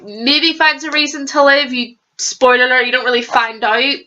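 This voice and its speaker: A woman's voice: sits high, steady tone, moderate pace, pushed and bright, poor audio. A young woman with a cold and a stuffy nose chatting casually in a rambling book review vlog, restarting her sentences as she goes. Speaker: vlogger.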